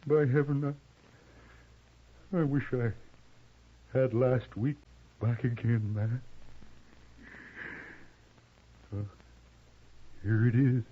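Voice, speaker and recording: A man's voice: mid-range voice, fairly even intonation, slow, pauses often. An actor playing a bitter, rueful outlaw gunman with folksy, plain speech in a 1950s radio western. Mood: pained, regretful. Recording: muffled old 1950s radio recording.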